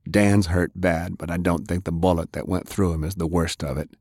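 Casting: male narrator as weary gruff man, deep and subdued, blunt, sad, audiobook fiction. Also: fairly even intonation, normal speed, a little mellow, dry recording, clean studio sound.

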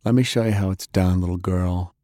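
A man's voice: low voice, steady tone, brisk, mellow, no room sound, studio quality, lightly acted. A man voicing a standoffish, tough woman fighter in an audiobook, scornful and challenging.

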